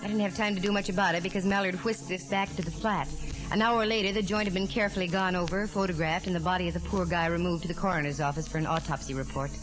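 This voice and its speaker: A woman's voice: lowish voice, lightly acted, rough recording. An American actress playing a plucky, wry, slangy female private eye in a 1940s radio detective drama. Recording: vintage 1940s radio recording, music behind.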